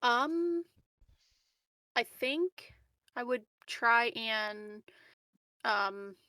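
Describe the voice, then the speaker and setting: A woman playing a tabletop game, chatting casually in a relaxed, hesitant way. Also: a little high, very slow, no room sound.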